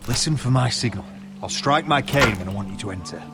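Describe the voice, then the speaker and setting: Man playing firm, animated young nobleman. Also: mid-range voice, loud music behind the voice, close to an everyday voice. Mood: firm, determined. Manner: measured, firm, terse. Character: resolute, wounded young nobleman, grave and protective. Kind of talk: dramatic video game acting.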